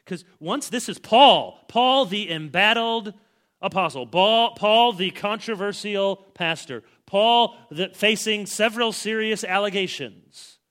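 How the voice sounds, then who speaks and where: A middle-aged male pastor preaching an expository sermon, swinging between loud, stressed phrases and quiet asides. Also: high voice, a little slow. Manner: emphatic, with big swings in loudness.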